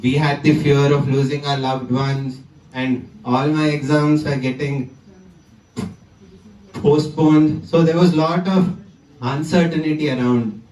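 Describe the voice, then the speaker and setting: A young man, voice a touch high, speaks to a crowd with a stammer, stopping and repeating words. He is picked up from some distance, and the sound rings around a large room. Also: fairly even intonation, slow, a little mellow, echoey room. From South India. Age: 20s. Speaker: conference speaker. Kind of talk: personal talk to an audience. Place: conference hall.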